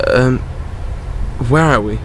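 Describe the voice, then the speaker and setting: A man's voice: mid-range voice, slow, soft background music, lightly acted. A man playing an earnest, loyal, emotional companion in an audio drama, speaking plainly. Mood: tender, sad. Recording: clearly noisy, traffic-like background.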